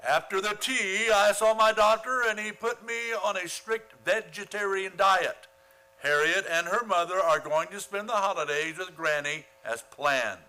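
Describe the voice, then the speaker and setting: A man's voice: sits high, measured pace, bright, forceful tone, rough recording. A man playing a pompous, easily flustered middle-aged father in an old-time radio comedy. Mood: stern, smug. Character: flustered, blustering father and boss figure, Mr. Conklin.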